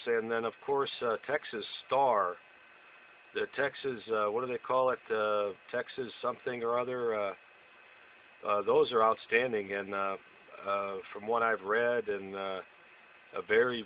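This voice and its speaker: A man's voice: medium pitch, steady tone, unhurried. A man who operates amateur radio, chatting casually in radio procedure with a hesitant, rambling manner. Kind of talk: casual radio chat with call-and-reply procedure words. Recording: dull lo-fi audio with faint noise.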